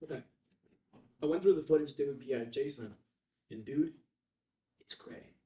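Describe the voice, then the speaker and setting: Geeky tech buddy, played by a man, very dark mellow tone, informal, roomy distant sound. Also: fairly high pitch, moderate pace, lightly acted. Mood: eager. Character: casual, geeky video analyst buddy. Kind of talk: screenplay table read.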